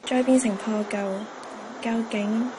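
A woman's voice: a little high, fairly even intonation, measured pace, background noise. A young woman with a Hong Kong Cantonese accent, reading a reflective scripted narration.

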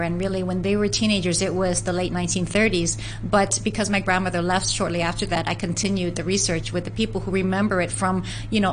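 A woman's voice: mid-range voice, slight background noise, poor audio. Earnest woman telling family stories. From San Francisco. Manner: earnest, storytelling, with frequent restarts. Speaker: interview guest, author researching family history. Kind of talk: conversational interview.

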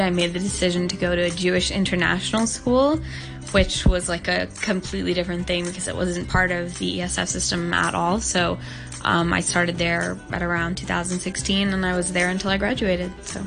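Podcast guest: a nineteen-year-old woman, earnest and thoughtful, slightly low voice, faint music behind. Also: little pitch movement, moderate pace, gravelly. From Hong Kong. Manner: earnest, thoughtful, many "like" fillers. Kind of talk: casual interview answers.

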